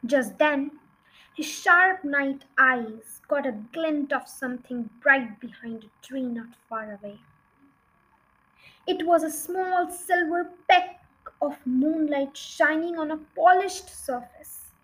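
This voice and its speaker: A woman's voice: sits high, slow, fairly bright tone, rough recording. A woman reading a children's storybook aloud as an audiobook narrator. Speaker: audiobook reader.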